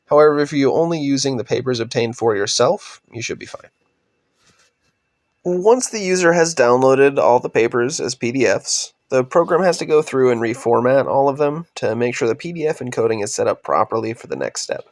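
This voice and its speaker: Young guy w a raspy cold voice, kinda gravelly, walking thru his research slides, dry sound. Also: mid-range voice, dry recording. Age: young adult. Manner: steady, explanatory, trails off at sentence ends. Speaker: undergraduate researcher presenting. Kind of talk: scripted slide presentation narration.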